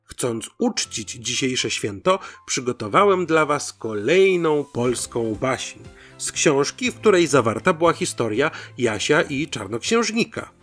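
A man narrating a folk tale as host, in an expressive, theatrical storytelling style. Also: medium pitch, a little slow, hardly any pauses, faint music behind.

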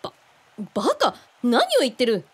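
A woman's voice: fairly high pitch, very animated, normal speed. A woman playing a brash, blunt, easily flustered tough woman in a radio drama. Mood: flustered, grumbling. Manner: blunt, rough-spoken.

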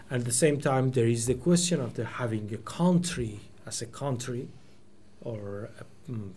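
A man in his forties whose first language is Persian talks at an unhurried pace, thinking aloud and correcting himself mid-phrase. His voice sits at an ordinary middle pitch. He speaks into a table microphone in a small meeting room, with a faint hiss underneath. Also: a little mellow. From Iran. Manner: thoughtful, searching for words, many restarts and fillers. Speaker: poet giving a talk. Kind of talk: reflective lecture.

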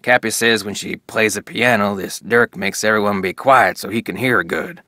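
A man's voice: lowish voice, normal speed, bright, forceful tone, no echo, clean studio sound. An actor playing a stern, grieving 1880s rancher father, reading journal entries with a rural Western American accent in a plain, folksy way. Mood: uneasy. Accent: rural American West. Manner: plain-spoken, rustic, folksy.

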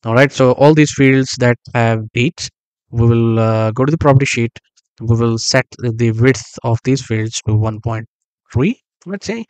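A man explaining a database build step by step while working on screen, thinking aloud with frequent pauses and small self-corrections. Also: mid-range voice, a little mellow, dry recording, poor audio. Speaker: software tutorial instructor. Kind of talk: screen-recorded tutorial narration.

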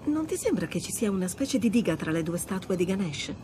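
A woman's voice: medium pitch, very animated, fairly quick, dark tone, a little hiss or hum, natural voice. An actress playing a wry, adventurous treasure hunter in her thirties, teasing and understated, in naturalistic video game dialogue. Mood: awed.

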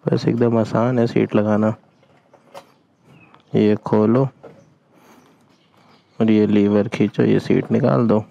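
A man's voice: lowish voice, flat delivery, slow, creaky, pauses often, dark tone, lo-fi sound. A man chatting informally to viewers in short remarks, as a motorcycle vlogger. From India. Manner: informal, talking to viewers in short remarks while busy with the bike. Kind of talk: casual motovlog chat. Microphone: action camera setup with helmet. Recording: outdoor vehicle and street noise.

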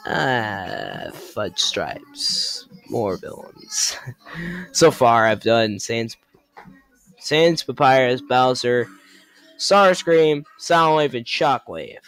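A teenage boy vlogs from his bedroom into a gaming headset. He talks slowly and casually, muttering and stalling while he thinks. The sound is dry and close. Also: medium pitch, lively intonation, a bit bright, smooth, dry recording, faint music behind. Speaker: YouTuber. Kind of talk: casual vlog to camera.

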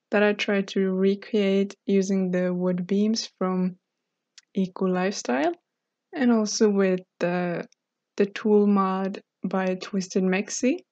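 A woman's voice: mid-range voice, a little mellow, no room sound. A woman in her twenties from Norway narrating her game building video in a friendly, chatty way, with fillers and light laughs. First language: Norwegian. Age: 20s. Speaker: Sims speed-build YouTuber. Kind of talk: casual voice-over narration. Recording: slightly dull, dry home recording.

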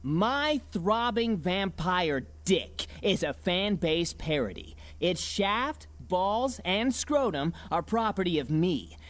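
An actor playing a crude, cocky undead vampire with a sneering, profane delivery in an anime parody dub. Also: male voice, high voice, big pitch swings, slow, hardly any pauses, fairly bright tone, full character voice. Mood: lewd, smug.

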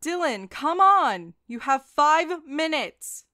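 High-pitched nagging mother played by a woman. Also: very animated, slow pace, bright, forceful tone, full character voice. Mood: impatient calling. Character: nagging, disapproving mother of a teenage boy.

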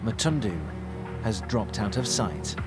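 A man's voice: fairly low pitch, animated, a little slow. A man reading scripted, suspenseful television documentary narration in short punchy phrases. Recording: voice-over over loud music. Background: music.

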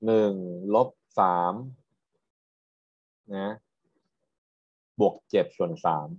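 A man's voice: lowish voice, steady tone, drawn-out words, dry recording. A man teaches as a university lecturer. He explains patiently in a technical style, often tagging his points with short questions to students. The recording sounds somewhat dull and muffled. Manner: explanatory, checks understanding with frequent tag questions.

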